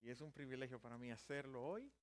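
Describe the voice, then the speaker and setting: A man's voice: mid-range voice, lively intonation, quick pace. A man in his fifties who is a native Spanish speaker, preaching a sermon in a church, with self-deprecating humor and earnest Bible teaching. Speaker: pastor. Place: church sanctuary.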